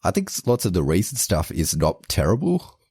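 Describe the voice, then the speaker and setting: Deep-voiced joking man co-hosting a podcast. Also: wildly swooping pitch, brisk, darkish tone, husky, dry recording, studio quality. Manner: joking, opinionated, laughs often. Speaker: podcast co-host. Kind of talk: casual chat.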